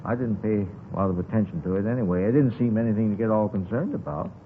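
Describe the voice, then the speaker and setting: An American actor playing a chatty, folksy newsstand owner who rambles off the point, in 1950s radio drama style. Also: male voice, fairly low pitch, fast talker, natural voice. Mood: matter-of-fact, defensive. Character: chatty, rambling newsstand owner, a married man witnessing a shooting. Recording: noisy, tinny 1950s radio recording.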